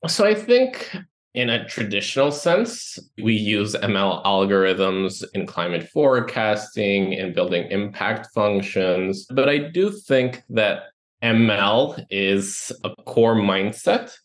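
Low-voiced man from Ecuador, explaining. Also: expressive, slow pace. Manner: explanatory, lists items, some restarts. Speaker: guest, company co-founder and CTO. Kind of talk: podcast interview answers.

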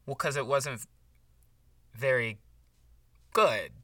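A performer playing an indignant, jealous book podcaster in an improvised comedy, incredulous and sarcastic, repeating words haltingly. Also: medium pitch, sharp tone, dry recording, clean studio sound, recorded from a distance. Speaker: podcast co-host.

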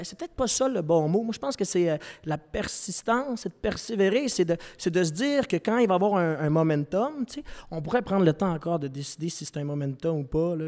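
A young man with a Québécois French accent speaks earnestly, stumbling and restarting as he works through his thoughts. His voice sits high for a man and carries a long hall reverb from a live venue. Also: a little mellow. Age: young adult. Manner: earnest, halting, many restarts. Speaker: singer-songwriter guest. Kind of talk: panel discussion before a live audience.

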